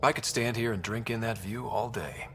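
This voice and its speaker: A man playing a relaxed, reassuring young human space explorer in a video game, speaking casually and kindly. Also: lowish voice, normal speed, creaky, soft background music.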